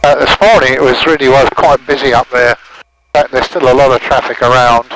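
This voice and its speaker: A man with a London accent, flying a vintage plane and talking calmly through his checks over the headset intercom. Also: mid-range voice, brisk, low-quality recording. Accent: London English. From England. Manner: calm, running through checks aloud. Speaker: pilot. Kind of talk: casual cockpit chat. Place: aircraft cockpit. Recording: engine noise over intercom.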